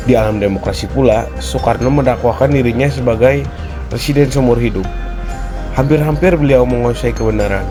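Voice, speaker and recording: A man's voice: medium pitch, a little fast, music in the background. A young adult man reading a scripted spoken-word essay in a rhetorical, declamatory manner. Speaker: university student.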